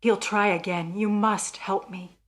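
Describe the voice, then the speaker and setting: A woman's voice: medium pitch, steady tone, measured pace, natural voice. An anxious, dramatic married woman from a radio thriller, acted by a woman. Her voice sits in a middle register and pleads earnestly, with the fear of someone who believes she is in mortal danger. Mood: fearful, earnest. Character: anxious married woman convinced her husband plans murder.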